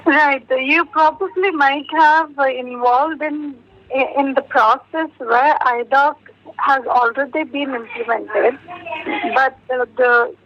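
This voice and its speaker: A woman with an Indian accent interviewing a candidate over the telephone, measured, pausing and rephrasing her questions. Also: sits high, a bit bright. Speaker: job interviewer. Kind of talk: technical phone interview questioning. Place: telephone call. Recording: muffled, dull telephone interview recording.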